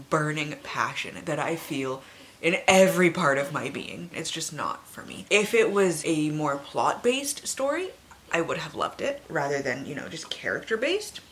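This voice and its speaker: Lower-pitched woman, chatty and noisy background. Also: a bit bright. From Canada. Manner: informal, sweary, emphatic opinions. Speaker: book reviewer, video host. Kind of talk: casual chatty vlog.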